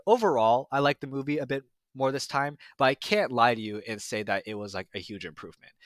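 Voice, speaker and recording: Young man, film reviewer, casual and reflective, bright low-ish voice, very dry sound. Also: no echo. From United States. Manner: reflective, frequent fillers and restarts.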